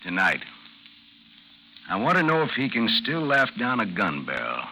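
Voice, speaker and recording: Embittered war captain: low, terse, defiant, widely swinging pitch, old crackling radio drama. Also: male voice, huge pitch swings, normal speed, pushed and bright, exaggerated voice. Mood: grim, guarded. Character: embittered, stubborn war veteran captain bent on killing. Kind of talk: 1950s radio drama acting. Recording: noisy, crackling 1950s radio recording.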